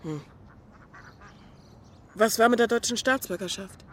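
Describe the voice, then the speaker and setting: A woman's voice: a little high, a little slow, slight background noise, close to an everyday voice. An actress playing a caring, frank young woman in a radio drama, coaxing and matter-of-fact with her friend. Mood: calm, probing.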